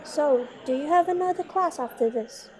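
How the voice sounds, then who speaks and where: A woman's voice: sits high, normal speed, a little mellow, close to an everyday voice. A woman playing a curious, earnest young student in an amateur radio drama, narrating and acting out her story. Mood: curious, friendly.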